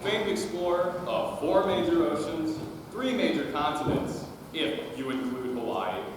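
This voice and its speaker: A man's voice: fairly high pitch, lively intonation, a little slow. A man playing a bombastic, self-promoting explorer and tour guide in a theatrical stage comedy, with grand declamatory delivery. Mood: grandly boastful. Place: high school theater stage, distant mic. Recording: echoey hall from far off, noisy.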